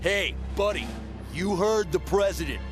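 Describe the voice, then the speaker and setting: Game extra: gullible, keen citizen, high voice over music. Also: male voice, unhurried. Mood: eager, insistent. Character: eager, gullible, patriotic American townsman. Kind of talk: video game cutscene acting.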